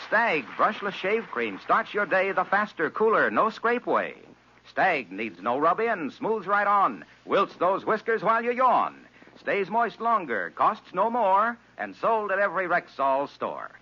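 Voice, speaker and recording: High, animated old-time radio pitchman. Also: a little slow, breathy edge. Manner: polished sales patter. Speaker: radio announcer. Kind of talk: 1940s-50s radio ad read. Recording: muffled old radio broadcast.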